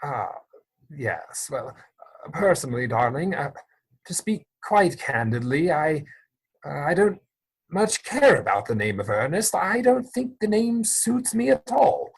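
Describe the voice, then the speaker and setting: A man acting as an earnest, lovestruck gentleman in a period stage comedy. The voice is breathy and rough-edged and leaps up and down expressively as he stammers through his flustered courtship. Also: fairly high pitch, very animated, unhurried, heavily acted, poor audio. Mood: flustered, hesitant. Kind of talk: theatrical dramatic reading of period comedy.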